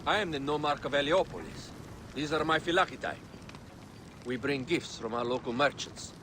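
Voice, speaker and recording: An actor playing a steady, guarded and discreet Egyptian envoy in a video game drama. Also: male voice, a little high, moderate pace, background noise, lightly acted. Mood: formal.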